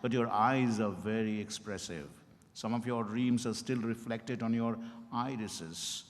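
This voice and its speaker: An actor playing an insistent, smoothly persuasive male doctor and counsel in a formal, theatrical stage reading. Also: on the low side, unhurried, lightly acted. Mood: probing, persuasive. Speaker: stage reader in a dramatized reading. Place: event hall at a hotel, live stage reading. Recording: echoey hall with long reverb.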